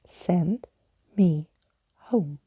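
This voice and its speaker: Female reader as troublesome imaginary girl for a storybook: sulky, blunt, defiant, dark husky tone. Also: lowish voice, very slow, no room sound, clean studio sound, full character voice. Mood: icy anger.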